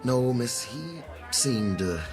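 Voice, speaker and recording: A man's voice: mid-range voice, expressive, slow pace, music in the background. An actor playing a polite, deferential male restaurant host in an audio drama, discreet and hesitant. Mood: polite, evasive.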